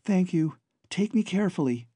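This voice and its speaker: A frightened young woman, tiny and held captive, begs in a high, breathless voice, put on by a male narrator. Her tone stays mellow and a little husky. The sound is dry and close. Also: expressive, normal speed, dry recording. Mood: pleading. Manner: pleading, breathless.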